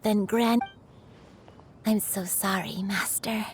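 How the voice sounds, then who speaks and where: A woman voicing a gentle, loving granny who speaks humbly and tenderly in a video game. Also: mid-range voice, steady tone, takes it slowly, a little hiss or hum. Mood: apologetic.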